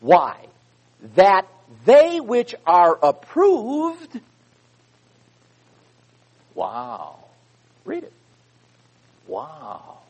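A man preaching a sermon, reading scripture with loud emphasis and dropping into quiet asides. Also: high-pitched, huge pitch swings, extremely slow, pauses often, rough recording.

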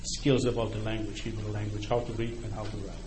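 A man's voice: fairly low pitch, flat delivery, fast talker. A middle-aged man with a Polish accent, a native Ukrainian speaker, preaching a sermon in a conversational way and often checking in with his listeners. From Poland. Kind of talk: sermon to a congregation. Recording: echoey room, faint background noise.

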